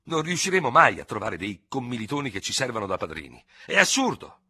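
A man's voice: mid-range voice, huge pitch swings, brisk, fairly bright tone, husky, voice pushed up, exaggerated voice. A man voicing a level-headed Norman cavalry lieutenant in an audiobook story, disdainful and exasperated but self-controlled. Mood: scornful, exasperated.

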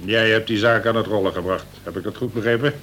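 A man playing an older prison governor, a colonel who speaks in a measured, formal and wry way, in a Dutch radio play. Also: fairly even intonation, a bit bright, natural voice. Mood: wry authority. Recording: noisy old radio recording with rumble.

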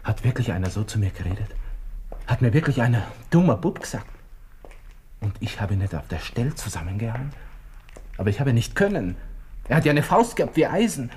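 An actor playing a proud, agitated Austrian army lieutenant, brooding to himself in a radio play, breaking into whispers and outbursts. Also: male voice, medium pitch, very animated, fairly quick, pauses often, darkish tone, slight background noise, lightly acted. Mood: stunned, indignant. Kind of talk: interior monologue in a radio play.

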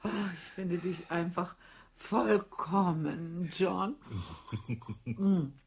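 An actress in a radio play voicing a cool, cynical woman with many late husbands, teasing and amused, with a husky voice. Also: on the low side, very slow, full character voice. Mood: languid.

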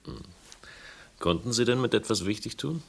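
An actor playing the dry, measured, literary narrator hero of a radio crime drama. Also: male voice, mid-range voice, expressive, gravelly. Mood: calm, insistent. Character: wry first-person narrator hero of a crime story.

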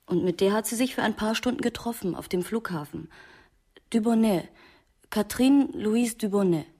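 An actress playing a murder victim's grown daughter, a new mother, subdued and measured in a radio crime drama. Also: mid-range voice, warm tone, slightly rough. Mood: reflective.

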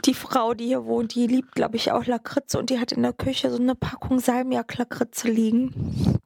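A woman's voice: on the high side, level tone, normal speed, gravelly, a little mellow, breathy edge, no room sound, clean studio sound. A woman making a casual, confiding personal remark.

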